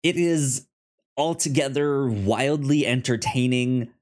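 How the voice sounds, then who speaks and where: A man with a middle-pitched voice talks at a slow pace as a podcast co-host. His delivery is casual and halting, and he often stammers over syllables and repeats words while he discusses films. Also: a little mellow.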